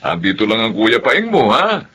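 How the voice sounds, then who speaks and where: Boisterous protective uncle, lowish bright forceful voice, very animated, teasing, radio drama. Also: male voice, moderate pace, slight background noise, heavily acted. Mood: jovial, boisterous. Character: cheerful protective older brother and uncle. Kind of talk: Filipino radio drama acting.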